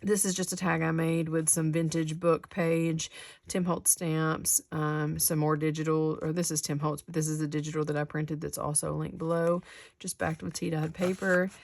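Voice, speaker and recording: A woman with a head cold talks in a low, gravelly, fairly flat voice, a little sniffly. She rambles casually through her handmade crafts with plenty of ums and restarts. The sound is close and dry, with no room echo. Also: normal speed. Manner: relaxed, rambling, many ums and self-corrections. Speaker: craft channel host. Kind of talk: casual show-and-tell of handmade journals. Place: craft table indoors, hands-on demo.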